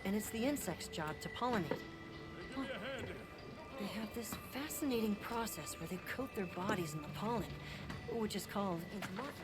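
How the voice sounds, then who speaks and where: A woman voices a young field biologist in a video game, keen on nature and quick to explain. The pitch is medium, and loud music plays behind. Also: lively intonation, natural voice. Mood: fascinated.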